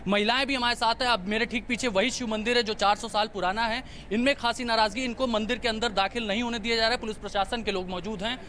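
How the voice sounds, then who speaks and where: A man's voice: high voice, steady tone, quick pace, few pauses, pushed and bright. A man reporting live for the news from outdoors in a village. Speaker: field reporter. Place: outdoors in a village near a temple. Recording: faint outdoor background noise.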